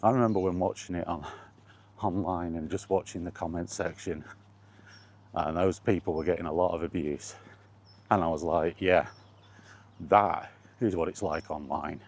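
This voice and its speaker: A man's voice: deep voice, fairly even intonation, moderate pace, pauses often. A British man chatting casually in a wry, colloquial way, like a solo vlogger rambling through his thoughts. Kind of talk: casual rambling chat about gaming.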